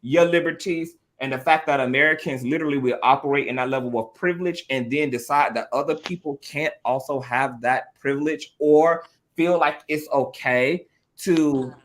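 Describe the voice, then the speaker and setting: A man's voice: fairly high pitch, normal speed. A man hosting a podcast discussion, speaking with passion, often repeating words and swearing casually.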